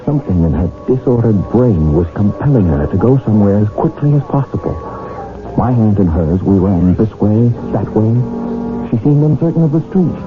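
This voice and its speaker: A dull old radio broadcast with clear music behind carries an earnest, anxious husband. He speaks formally and dramatically, in a low voice that swings widely as he tells his mystery. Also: big pitch swings, normal speed. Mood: grave storytelling. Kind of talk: 1970s radio drama acting and narration.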